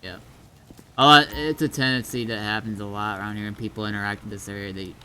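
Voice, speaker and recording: A man's voice: lowish voice, steady tone, a little fast, bright, forceful tone. A man casually guiding newcomers through an online game, explaining step by step and somewhat hesitantly. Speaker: experienced game server player guiding newcomers.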